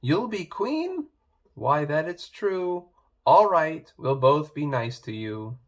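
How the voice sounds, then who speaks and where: A man's voice: a little high, sing-song, takes it slowly, full character voice. A man voicing a vain, whiny, fawning young stepsister in a children's fairy-tale storybook. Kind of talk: children's storybook read-aloud.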